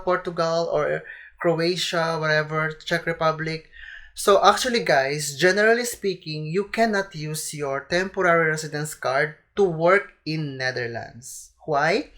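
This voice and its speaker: A woman's voice: deep voice, a bit bright. A young woman with a Filipino accent, a native Tagalog speaker, chatting casually to camera as a vlogger while she has a cold, often calling her viewers "guys". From Philippines. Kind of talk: casual advice vlog to camera.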